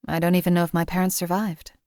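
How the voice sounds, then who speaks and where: A woman's voice: on the low side, flat delivery, quick pace, warm tone, no echo, clean studio sound, natural voice. A woman voicing a cold, contemptuous hired assassin in a game, speaking in a formal, clipped, disdainful way. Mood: detached. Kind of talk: game character voice lines.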